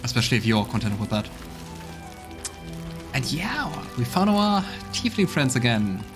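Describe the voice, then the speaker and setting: Guy doing chill let's play commentary, average pitch, reflective, faint music behind. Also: very animated, moderate pace. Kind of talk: casual game commentary.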